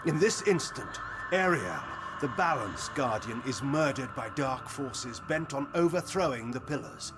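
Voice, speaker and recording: A male actor voices a cynical, imperious vampire lord in a gothic video game. He speaks at a medium pitch in measured, grandiloquent, archaic rhetoric, cunning and fatalistic. Music is clearly heard behind him. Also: expressive, natural voice. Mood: grave, portentous. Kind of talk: theatrical scripted video game dialogue.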